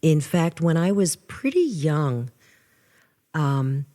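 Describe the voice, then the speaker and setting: An older woman from New with a low voice, telling lively stories as a guest in a casual interview. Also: slow pace. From New York. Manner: animated storyteller, restarts often. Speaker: guest musician.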